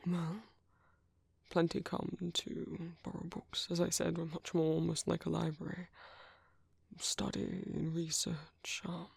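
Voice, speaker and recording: A man acts out a kindly vampire priest with a British accent, speaking very breathily with a gravelly fry. He is polite and hesitant, softly reassuring a stranger in a half-whisper. It is an intimate ASMR roleplay. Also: fairly high pitch, completely flat delivery, measured pace, no echo, exaggerated voice. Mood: gentle, apologetic. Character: gentle, caring male vampire priest sheltering a stranger. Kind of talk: soft-spoken ASMR roleplay.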